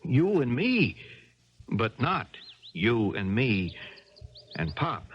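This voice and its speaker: An actor playing an earnest, hesitant young college athlete in love, in a 1970s radio drama. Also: male voice, medium pitch, sing-song, drawn-out words, breathy edge, heavily acted. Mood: pleading, frustrated. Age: young adult. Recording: dull archival 1970s radio broadcast.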